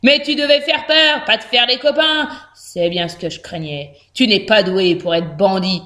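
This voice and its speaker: A woman's voice: mid-range voice, moderate pace, sharp tone, roomy sound, lightly acted. A woman voicing a hamster father in a children's story, stern and paternal toward his son. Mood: disappointed. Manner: sighing, paternal.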